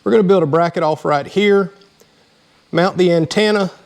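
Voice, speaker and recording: A man's voice: high voice, moderate pace, creaky. A man hosting a casual hands-on DIY tutorial, talking in a plain-spoken, explanatory way. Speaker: host of a DIY vehicle channel.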